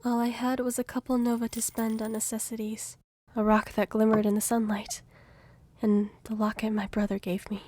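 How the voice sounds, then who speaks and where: Audio drama lead, female actor as young fugitive narrator; earnest, flat-pitched, dark warm tone, measured storytelling. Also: close to an everyday voice. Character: young gifted female fugitive, wistful and plain-spoken. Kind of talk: scripted first-person audio drama narration.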